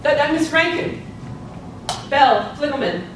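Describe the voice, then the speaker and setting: A woman's voice: animated, a little slow. A woman playing an earnest, eager newspaper reporter in a live stage play, recorded in an echoey room. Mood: eager, awed. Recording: echoey noisy room, distant mic, low rumble.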